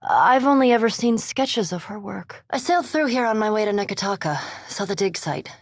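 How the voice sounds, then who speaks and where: A woman's voice: mid-range voice, lively intonation, normal speed, dry recording. An actress voicing an erudite, coolly curious female vampire scholar in a fantasy game, speaking formally and precisely with dry intellect. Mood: intrigued. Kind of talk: scripted fantasy game dialogue.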